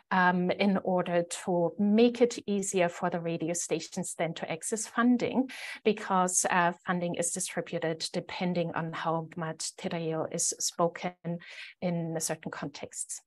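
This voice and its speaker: A woman with a German accent giving an explanatory conference presentation, measured, with hesitant pauses mid-sentence. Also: on the low side.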